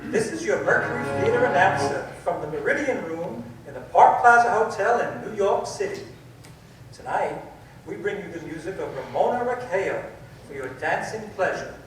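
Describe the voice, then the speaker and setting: A man's voice: high-pitched, expressive, normal speed. A man playing a courteous, formal announcer introducing dance music in an old radio play. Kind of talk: 1930s radio acting. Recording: echoey, distant, loud music behind.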